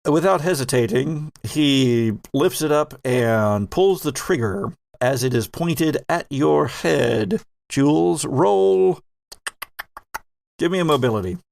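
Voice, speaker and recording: A man narrating a sci-fi horror tabletop game as host and game master, with measured, dramatic storytelling. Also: fairly high pitch, expressive, gravelly, a little mellow, dry recording.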